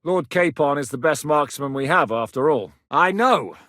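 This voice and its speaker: A battle-hardened warlord commander with a bright, forceful, fairly high voice. He talks bluntly and with authority, the way a leader gives orders to his men. The recording is dry and close, with no room sound. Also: animated, moderate pace, no room sound. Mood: commanding. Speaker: military commander character. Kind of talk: video game voice lines.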